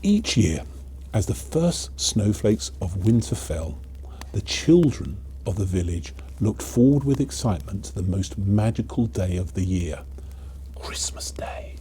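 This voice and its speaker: A man narrating a children's storybook in an expressive, theatrical, measured way. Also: on the low side, slight background noise.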